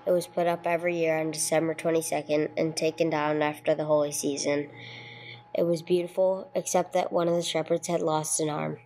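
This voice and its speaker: A woman's voice: low voice, level tone, brisk, warm tone. A woman playing a no-nonsense police sergeant who narrates a case in a radio play, clipped and matter-of-fact. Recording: clear background noise, room ambience.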